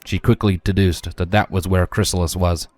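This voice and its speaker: A man's voice: deep voice, steady tone, moderate pace, a little mellow, a faint crowd. A man reading scripted fantasy fiction as a narrator.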